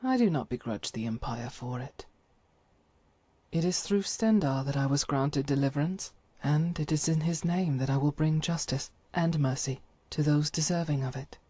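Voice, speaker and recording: A woman playing a devout, stern holy warrior in a video game, speaking in solemn, formal, measured phrases. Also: sits low, dark tone, slightly rough, no room sound. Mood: reflective, earnest. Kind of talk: scripted video game character dialogue.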